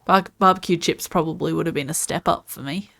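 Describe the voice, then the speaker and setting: A woman's voice: sits low, brisk, creaky, pushed and bright, no room sound. An Australian woman chatting casually while streaming gameplay and answering viewers' comments.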